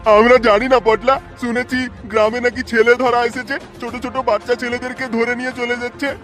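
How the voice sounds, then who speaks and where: A man's voice: very high voice, little pitch movement, moderate pace, music in the background. A man voicing a desperate village father in a comic cartoon, wailing and pleading. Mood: despairing, pleading.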